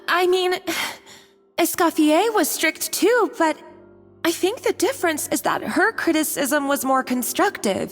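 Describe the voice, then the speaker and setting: A woman voicing an anxious, apologetic young female cook in a video game, stammering and pleading as if near tears. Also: sits high. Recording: long reverb with music behind.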